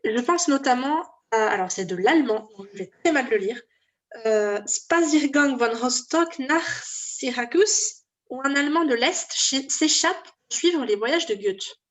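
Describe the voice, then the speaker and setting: A woman moderating over a video call, reading out questions from the audience. Her pitch sits a little high, and her phrasing is halting with fillers. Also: lively intonation, fairly bright tone.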